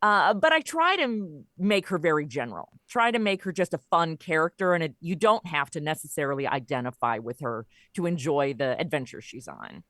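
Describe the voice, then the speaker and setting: A woman's voice: sits low, very animated, a little fast, hardly any pauses, a bit bright, dry recording, clean studio sound. A middle-aged woman speaking as a podcast guest in a casual conversational style, with a wry, joking manner. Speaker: podcast guest, audio comedy creator.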